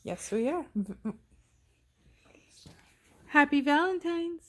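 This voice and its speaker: A woman with a fairly high voice chats casually in her living room. She talks in short, homely questions and remarks, as in everyday family conversation. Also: animated, slow pace.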